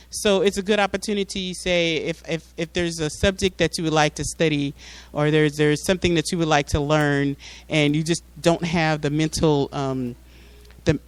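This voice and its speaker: Guy doing a public talk w slides, clean smooth voice kinda high, explains stuff, repeats himself a bit. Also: no room sound. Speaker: public speaker at a mosque. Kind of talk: informal public address with slides.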